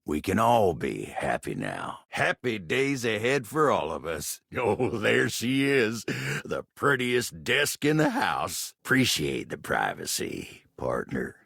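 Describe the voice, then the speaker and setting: A man voicing a gentle, lovestruck cowboy in a video game, with a Western American drawl, a gravelly, husky voice and a bashful, courteous, folksy manner. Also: lowish voice, wildly swooping pitch, slow, no echo, clean studio sound, heavily acted. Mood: grateful, affectionate. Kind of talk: video game character voice acting.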